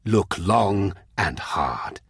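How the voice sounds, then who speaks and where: An actor playing a cruel, contemptuous Sith overseer in a video game, sneering and commanding with a husky, menacing voice. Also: male voice, deep voice, lively intonation, very slow, fairly bright tone, no room sound, studio quality, full character voice. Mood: haughty, contemptuous. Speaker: villainous trial master in a video game. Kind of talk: scripted game voice acting.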